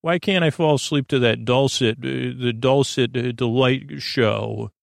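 A man hosting a bedtime podcast in a creaky, slightly rough-edged voice, meandering and halting as he gently soothes the listener. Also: mid-range voice, no room sound, studio quality. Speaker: podcast host. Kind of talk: rambling bedtime podcast monologue.

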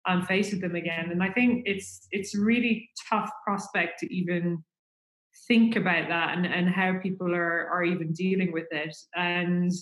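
A woman's voice: fairly low pitch, steady tone, moderate pace, reverberant. A woman with an Irish accent chatting casually and at length, with plenty of fillers. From Ireland. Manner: chatty, rambling with many fillers. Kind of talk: casual chat.

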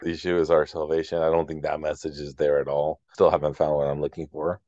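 Male podcast co-host, low-pitched, conversational and searching for words, on close, dry audio. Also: flat delivery, mellow, no room sound, lo-fi sound. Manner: halting, rephrases as he thinks aloud. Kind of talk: casual podcast discussion.

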